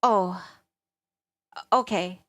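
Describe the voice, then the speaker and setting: A woman's voice: lowish voice, drawn-out words, dry recording, clean studio sound. A woman voicing a polite, eager young public relations worker, earnest and a little hesitant, in a visual novel. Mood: hesitant, hopeful.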